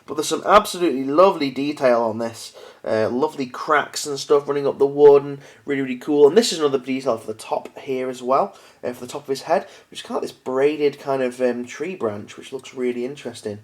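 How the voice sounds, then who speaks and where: A man's voice: mid-range voice, a little fast. Sniffly chatty man. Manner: chatty, apologetic, many fillers and restarts. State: stuffy with a cold. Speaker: miniatures hobby video host. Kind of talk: casual unboxing talk to camera.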